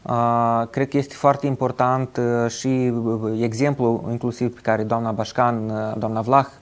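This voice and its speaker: A man speaking as a studio guest from an advocacy organization, slow and halting with many fillers. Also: mid-range voice, level tone, no room sound. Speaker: studio guest, NGO representative. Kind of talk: studio discussion. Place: television studio.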